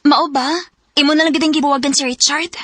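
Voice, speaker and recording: An actress playing a concerned, nosy young friend who cautions others in a radio drama, with a Cebuano Filipino accent. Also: female voice, high-pitched, fairly even intonation, fast, over music, natural voice. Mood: alarmed. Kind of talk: Bisaya radio drama acting.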